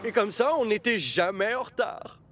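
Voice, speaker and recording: Very high-voiced nostalgic railway engine. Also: male voice, normal speed, fairly bright tone. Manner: reminiscing, chatty. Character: nostalgic, friendly male railway engine fond of old stories. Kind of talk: dubbed children's cartoon acting. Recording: music behind the voice.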